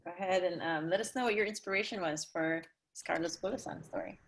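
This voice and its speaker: A woman's voice: fairly low pitch, quick pace, husky. A woman hosting a playwrights' talk-back over a video call, speaking in a casual style.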